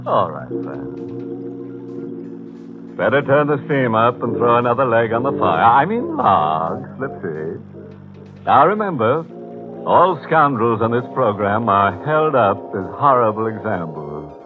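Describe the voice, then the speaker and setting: Theatrical, ghoulish old-time radio horror host. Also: male voice, fairly low pitch. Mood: mock-friendly, morbid. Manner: theatrical, drawn-out, macabre deadpan humor. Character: ghoulish horror host, mock-friendly man relishing morbid puns. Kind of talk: 1940s radio horror hosting and scripted narration. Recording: tinny old-time radio recording with music.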